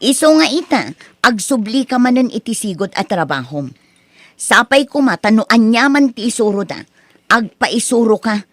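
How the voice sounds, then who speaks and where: A woman's voice: on the high side, lively intonation, moderate pace, fairly bright tone, no room sound. A woman voicing a firm wife who lectures her husband in a radio drama. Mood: lecturing.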